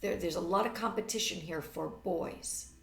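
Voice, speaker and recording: A woman's voice: on the low side, moderate pace, vocal fry, fairly bright tone. A middle-aged woman teacher reading a story aloud at home. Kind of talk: story read-aloud with brief teaching asides. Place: living room or home office, indoors. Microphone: webcam at a little distance. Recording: roomy, slightly distant webcam sound.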